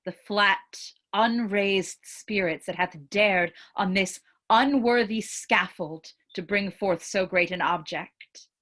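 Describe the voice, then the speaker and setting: A woman playing an earnest Chorus, reciting a Shakespeare history prologue in a measured, declamatory way. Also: medium pitch, a bit bright. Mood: humbly appealing. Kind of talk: classical Shakespeare stage recitation.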